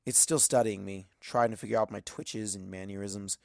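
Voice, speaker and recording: A man's voice: on the low side, fairly even intonation, normal speed, gravelly, no room sound, studio quality. A man reading a story aloud as a dramatic first-person narration.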